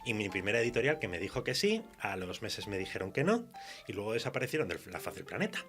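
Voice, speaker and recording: Guy from spain chatting on a radio show, animated and self-mocking, music in there too. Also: fairly low pitch, quick pace. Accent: Spanish from Spain. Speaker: guest writer and nurse. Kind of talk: casual radio interview chat.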